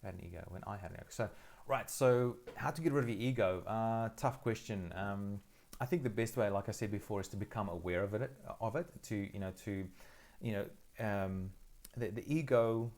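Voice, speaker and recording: A middle-aged man from South Africa, whose first language is Afrikaans, hosts a live Q&A straight from his phone. His voice sits somewhat low, and he answers viewer questions in a relaxed, thoughtful way, full of ums and restarts. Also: brisk, vocal fry. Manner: thoughtful, hesitant, many fillers and restarts. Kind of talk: casual live Q&A.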